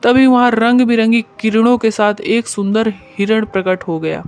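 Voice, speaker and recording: A man's voice: high-pitched, fairly even intonation, moderate pace, clean tone. A man narrating a scripted moral story as its storyteller.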